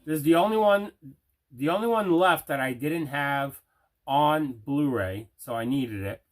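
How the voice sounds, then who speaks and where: A middle-aged man casually showing items in an unboxing, deliberate and repeating himself. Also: on the high side. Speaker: host of a movie collecting show. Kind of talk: casual unboxing show-and-tell.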